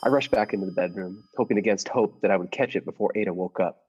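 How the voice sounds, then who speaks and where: A man's voice: sits low, fast talker, a little mellow, husky, highs cut off. A man playing a meek, nervous henpecked husband who narrates his scheme in a radio play, hesitant, earnest and formal. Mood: tense recounting. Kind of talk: improvised-cast classic radio play narration.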